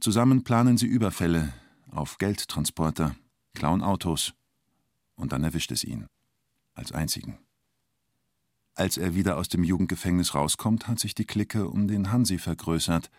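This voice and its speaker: An actor as the narrator of a radio play about a robber, speaking in terse, deliberate, clipped phrases. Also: male voice, low-pitched, a little mellow, dry recording, clean studio sound. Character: male narrator telling a bank robber's story tersely. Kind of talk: radio play narration, short present-tense sentences.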